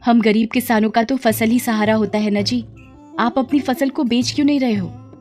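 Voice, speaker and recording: Anxious village wife, acted by a woman, fairly high and pleading, moral story, soft music underneath. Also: steady tone, normal speed, natural voice. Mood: worried. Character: worried wife of a poor farmer. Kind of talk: Hindi moral story voice acting.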